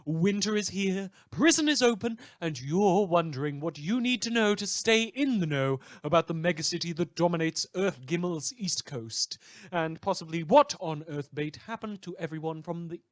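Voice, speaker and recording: Male performer as theatrical, sarcastic underground radio news host. High-pitched, dry recording, dramatic emphasis. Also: expressive, normal speed, no room sound, natural voice. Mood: sardonic. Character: snide, theatrical, conspiracy-minded pirate radio news host. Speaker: fictional radio show host. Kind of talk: mock radio news broadcast.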